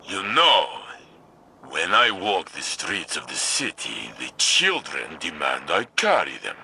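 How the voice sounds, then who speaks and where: An actor playing a big-hearted, earnest old-soldier hero with a grand manner in a video game. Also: male voice, low-pitched, huge pitch swings, takes it slowly, gravelly, sharp tone, a little hiss or hum, exaggerated voice. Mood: teasing.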